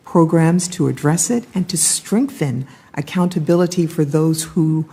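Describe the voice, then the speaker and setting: Casting: older American female official on the witness stand, mellow, raspy, measured and firm. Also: deep voice. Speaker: ambassador and chief diversity officer testifying as witness. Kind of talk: formal testimony. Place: congressional hearing room.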